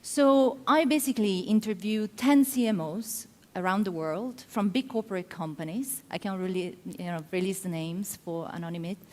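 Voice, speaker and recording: A woman's voice: medium pitch, normal speed, few pauses, a little mellow. A middle-aged woman from Italy with an Italian accent, giving a conference talk and explaining her points with frequent ums. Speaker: conference speaker. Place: large conference hall. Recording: hall with long reverb.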